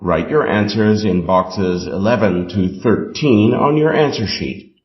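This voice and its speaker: Slow, low-voiced man reading aloud. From Canada. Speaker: exam passage reader. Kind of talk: scripted reading of test instructions and questions. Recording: dull, echoey room recording.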